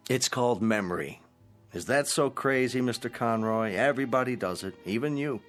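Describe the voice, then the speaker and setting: An actor playing a calm manager of an old folks' home in a radio drama, speaking in a patient, placating way. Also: male voice, mid-range voice, moderate pace, soft background music, natural voice. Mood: patient, reasoning.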